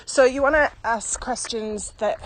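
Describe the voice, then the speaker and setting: A woman's voice: on the high side, unhurried, poor audio. A British woman in a casual podcast chat, explaining from experience as a training expert in an informal, practical way, recorded outdoors while out walking. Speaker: virtual training expert being interviewed. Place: outdoors, walking on a country path. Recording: outdoor ambience, faint background noise.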